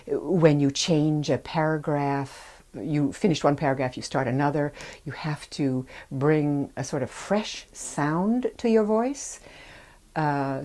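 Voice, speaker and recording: A woman audiobook narrator speaking in an interview, careful and precise, pausing between short phrases. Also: sits low, mellow. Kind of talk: documentary interview.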